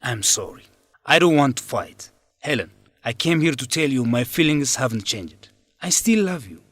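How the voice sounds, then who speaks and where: Controlling young student with swooping delivery. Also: male voice, medium pitch, sing-song, normal speed, a bit bright, dry recording. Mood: pleading. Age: young adult. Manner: defensive, insistent. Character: controlling, scheming male student leader, pleading then spiteful. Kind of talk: educational radio drama acting.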